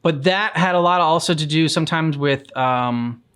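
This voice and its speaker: A man co-hosting a casual reaction chat, rambling and halting, with some casual swearing. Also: on the high side, fairly bright tone, clear, resonant tone. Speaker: reaction channel co-host.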